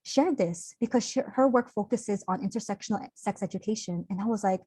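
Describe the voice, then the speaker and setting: A young woman speaks earnestly in an online workshop, her tone open and conversational with easy fillers. Her voice sits at an ordinary middle pitch. The sound is dry and close, like a video call. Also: a little fast, dark tone, breathy edge, dry recording. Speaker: workshop presenter.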